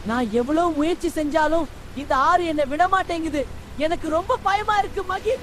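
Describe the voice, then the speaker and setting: A woman's voice: very high-pitched, moderate pace, a bit bright, slight background noise. A woman voicing a playful, boastful little boy rabbit in a children's cartoon. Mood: scared. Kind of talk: children's cartoon character dialogue.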